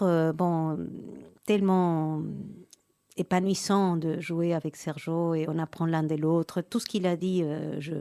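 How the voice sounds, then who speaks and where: A middle-aged woman from Argentina, a Spanish native speaker, recounting her career in a relaxed interview with frequent restarts and fillers. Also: sits low. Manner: hesitant, many restarts and fillers. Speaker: pianist and actress, interview guest.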